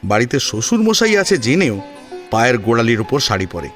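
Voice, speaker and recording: A man reading a scripted audio story as narrator, with expressive, dramatic phrasing. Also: medium pitch, brisk. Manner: expressive, rhetorical. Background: music.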